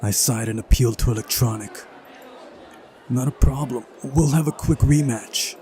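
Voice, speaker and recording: A man's voice: mid-range voice, normal speed, warm tone, slight background noise. A man narrating a visual novel playthrough, reading aloud and adding casual commentary. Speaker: let's play host.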